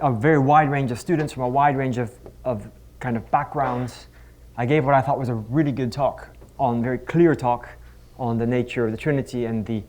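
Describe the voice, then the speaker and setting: A man's voice: medium pitch, a little mellow. Wry man giving a lecture. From Netherlands. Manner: wry, self-deprecating, conversational with frequent restarts. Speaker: physics professor giving a lecture. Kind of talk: conference talk with humorous anecdotes. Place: conference stage before a live audience.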